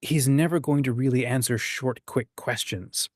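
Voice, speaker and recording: A man's voice: medium pitch, moderate pace, no echo, studio quality. A man hosting a casual discussion, explaining his ideas and sometimes acting out short examples. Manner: acts out short quoted examples. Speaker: host of a writing discussion.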